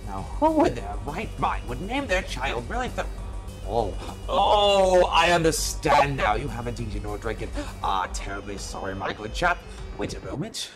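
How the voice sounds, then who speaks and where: A man's voice: medium pitch, sing-song, normal speed, close to an everyday voice. A man voicing an eccentric, courtly alien desert traveler in an amateur audio play, muttering absent-mindedly and theatrically over music. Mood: flustered, jovial. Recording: loud music bed behind voice.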